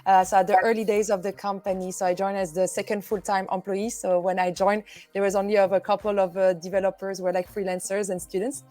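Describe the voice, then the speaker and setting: A woman's voice: mid-range voice, flat delivery, fast talker, few pauses, a little mellow. A young woman from Belgium whose first language is French, answering interview questions in a friendly way with many fillers. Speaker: company executive, guest.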